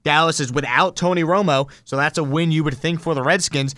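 A male American sports podcaster with a slightly high voice talks fluently and emphatically about football. The sound is very dry and close, in a sports talk style. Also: steady tone, a little fast, a bit bright, no echo.

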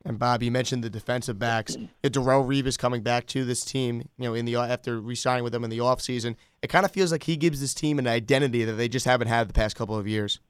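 A man's voice: medium pitch, quick pace, few pauses, clear, resonant tone, no echo. A man hosting a sports radio show and putting questions to a guest.

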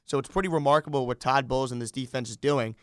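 A man's voice: mid-range voice, fast talker, clear, resonant tone, no echo, clean studio sound. An American man hosting a sports podcast, talking through games with a fan's enthusiasm. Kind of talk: sports talk commentary.

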